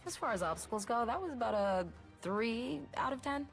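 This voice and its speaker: An actress playing a sardonic rebel bird-woman warrior in a video game, speaking in a dry, sarcastic deadpan. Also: on the high side, fairly quick. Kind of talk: video game champion voice lines. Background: music.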